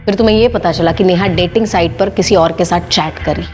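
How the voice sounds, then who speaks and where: A woman's voice: on the low side, a little fast, a little mellow, lightly acted. An actress playing a female police officer who confronts a suspect with accusations. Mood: accusing. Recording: music score underneath.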